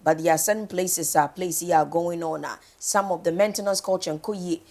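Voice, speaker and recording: A woman's voice: fairly low pitch, steady tone, brisk, warm tone. A woman with a Ghanaian accent chatting casually as a morning TV host, switching between two languages. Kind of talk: casual TV chat, code-switching.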